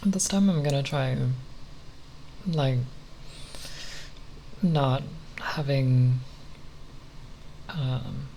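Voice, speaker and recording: A soft-spoken ASMR creator rambling unscripted, halting and often pausing mid-phrase. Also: mid-range voice, creaky, slight background noise, low-quality recording.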